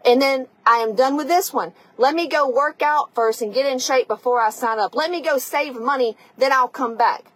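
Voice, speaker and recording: A woman with a high voice and a raspy edge delivers a tough-love motivational monologue as a coach. She is blunt, emphatic and sweary, speaking directly to the listener in a conversational way. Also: moderate pace, few pauses, a bit bright, no echo. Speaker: podcast host and coach.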